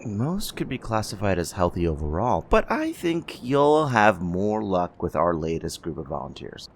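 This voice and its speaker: Man playing a gravelly, animated vampire-world flesh trader. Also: deep voice, moderate pace, a little hiss or hum, exaggerated voice. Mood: salesmanlike. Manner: folksy, obliging salesman's patter. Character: deferential, businesslike male trader in human blood volunteers. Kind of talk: ASMR audio roleplay acting.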